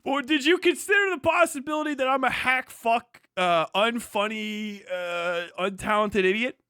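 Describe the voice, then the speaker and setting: A man's voice: extremely high pitch, normal speed, pushed and bright, smooth, no echo, studio quality. A man giving casual, mocking live reaction commentary as a streamer, incredulous and heavily profane.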